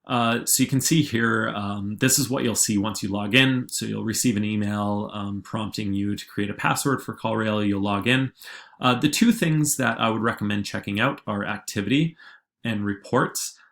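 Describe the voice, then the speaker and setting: A man giving an informal software tutorial as a presenter, explaining in a halting way with frequent filler words. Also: on the low side, vocal fry. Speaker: digital marketing agency presenter.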